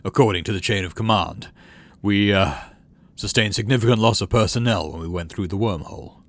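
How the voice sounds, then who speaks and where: A man's voice: fairly low pitch, fairly quick, lightly acted. A man voicing a proud, stubborn military commander from Earth in a sci-fi game, with a self-important, declamatory delivery. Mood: stubborn, official.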